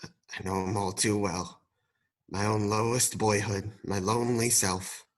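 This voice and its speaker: A man playing an old miser in a radio play, husky and hesitant, with a dramatic delivery. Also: fairly low pitch, fairly even intonation, a little slow, lightly acted. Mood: wistful. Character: grumpy, fearful old miser softening into wistfulness.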